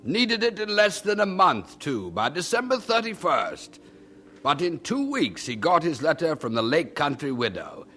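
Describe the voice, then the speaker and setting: An actor playing an admiring friend who narrates in a husky, confiding, dramatic storytelling manner for an old radio drama. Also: male voice, on the high side, sing-song, moderate pace, bright, forceful tone, exaggerated voice.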